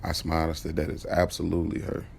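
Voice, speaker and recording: A man's voice: rumbling low, monotone, creaky, darkish tone, a little hiss or hum, heavily acted. A man playing an easygoing young driver telling his own story in casual, slangy audio drama narration. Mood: casual, storytelling. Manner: conversational, slangy, unhurried. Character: Jalen, easygoing young male driver, smitten, recounting his day.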